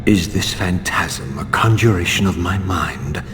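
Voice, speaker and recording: An actor playing a vengeful, brooding undead wraith in a video game, murmuring inner thoughts and snapping back defiantly. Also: male voice, deep voice, measured pace, music in the background. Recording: long hall reverb, game score behind.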